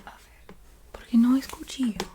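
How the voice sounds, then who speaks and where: A woman speaks in a very breathy, whispery ASMR style, on the higher side in pitch yet extremely soft and mellow. She rambles casually at a slow pace, hesitating and playfully repeating words under her breath. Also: a little hiss or hum. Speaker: ASMR creator.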